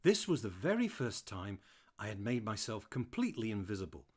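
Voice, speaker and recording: A man voicing a playful, chatty terrier who tells his own story in an animated, mischievous manner, as audiobook narration. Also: on the low side, a little fast, breathy edge. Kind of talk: first-person audiobook narration.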